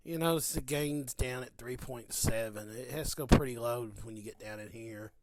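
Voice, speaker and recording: A man's voice: mid-range voice, normal speed, heavy background noise. A man giving a casual, informal hands-on demonstration as a hobbyist, with folksy phrasing, close to the microphone.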